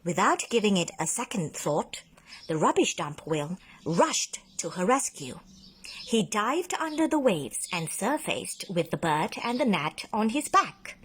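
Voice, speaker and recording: A woman reads a bedtime story like a drama teacher, with deliberate, dramatic emphasis. Her pace is slow and her intonation very animated, in a soft-edged, breathy voice. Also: mid-range voice. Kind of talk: expressive children's bedtime story narration.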